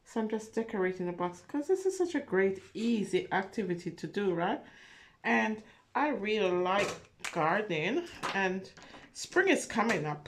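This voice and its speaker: A woman reading a picture story aloud and chatting to preschoolers in an animated, encouraging, sing-song way, speaking directly to them. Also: mid-range voice. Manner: animated, encouraging, talking directly to small children. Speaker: children's story reader and craft host. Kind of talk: read-aloud and chat for preschoolers.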